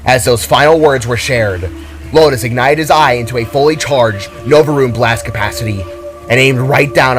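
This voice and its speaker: A man narrating a scripted, dramatic story. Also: mid-range voice.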